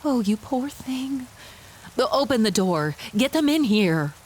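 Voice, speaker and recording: A woman's voice: sits high, steady tone, takes it slowly, warm tone, a little hiss or hum, rough recording. A woman playing a commanding but compassionate pony sentry who calls out, in an audiobook drama. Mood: concerned, commanding. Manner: calling out.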